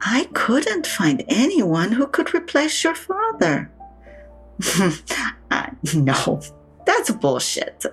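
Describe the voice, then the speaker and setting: Slow, husky, blunt elderly mother. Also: on the high side, huge pitch swings, soft background music, full character voice. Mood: wry, frank. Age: older. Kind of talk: naturalistic audio drama acting.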